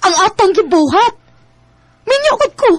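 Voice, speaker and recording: A very high-pitched woman's voice with a Cebuano Filipino accent. She is a married woman racked by guilt over two loves. The delivery is emotional and melodramatic, in the manner of Filipino radio drama. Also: very animated, moderate pace, no room sound. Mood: guilty, resolute.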